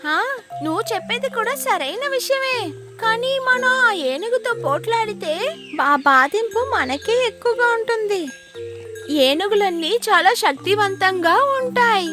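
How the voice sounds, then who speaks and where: A woman's voice: very high voice, lively intonation, moderate pace, loud music behind the voice. A woman voicing a playful, hungry, wary young calf in a children's cartoon, childlike and exclamatory. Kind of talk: animated children's cartoon dubbing.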